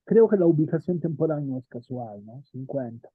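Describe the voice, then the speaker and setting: Casting: male teacher, husky and fairly high, discursive lecturing, thin dry phone-like audio. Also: animated, normal speed, dry recording. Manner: thinks aloud, asks students questions, frequent "¿no?". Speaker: theater history teacher.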